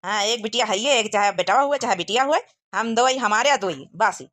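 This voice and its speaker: A plain-spoken village husband from a cartoon comedy, acted by a woman, with an Awadhi accent. The voice sits fairly high, and he talks in a firm, practical, matter-of-fact way. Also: brisk, fairly bright tone, lightly acted. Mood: practical, protective.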